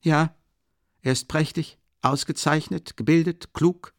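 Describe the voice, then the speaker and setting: Audiobook role: erratic young man, played by a male narrator, voice pushed high, swooping, exclaiming, insistent and feverish. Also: lively intonation, a little slow, darkish tone. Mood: sudden eager praise.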